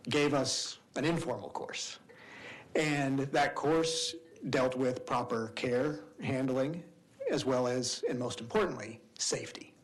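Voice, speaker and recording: A man's voice: medium pitch, poor audio. A man testifying before a legislative committee as a policy advocate, speaking steadily and earnestly and weaving in a personal story. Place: committee hearing room.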